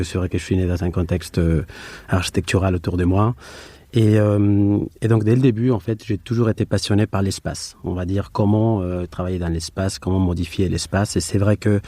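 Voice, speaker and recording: A man from Spain with a Spanish accent, answering as an interview guest and often pausing to rephrase. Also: low-pitched, dark tone, no echo. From Madrid, Spain.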